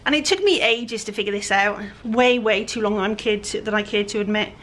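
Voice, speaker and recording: A woman with a croaky, raspy voice, chatting casually while she works through a furniture project. She sounds a little breathless and often lets her sentences trail off quietly. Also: medium pitch, brisk. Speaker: furniture flipper. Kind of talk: casual how-to chat while working.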